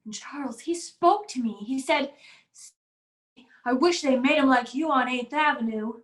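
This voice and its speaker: Woman acting a high-voiced, timid young maid, roomy sound. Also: normal speed, lightly acted. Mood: dreamy. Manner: fearful, earnest, innocent. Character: timid, naive, dreamy young servant woman held captive. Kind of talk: radio drama acting. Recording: roomy, echoing voice track.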